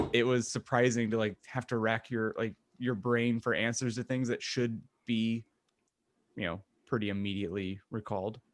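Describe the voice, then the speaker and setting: A man co-hosting a podcast in casual comedic chat, talking in a jokey, halting way. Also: lowish voice, dry recording. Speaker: podcast co-host.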